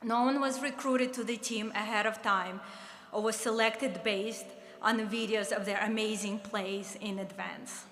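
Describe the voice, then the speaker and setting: A woman's voice: medium pitch, fairly even intonation, measured pace, a bit bright. A woman in her fifties with a Russian accent, earnestly reminiscing in a ceremony speech. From Soviet Union. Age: 50s. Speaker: former player giving an induction speech. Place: large hall at an awards ceremony. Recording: echoey hall with faint ambience.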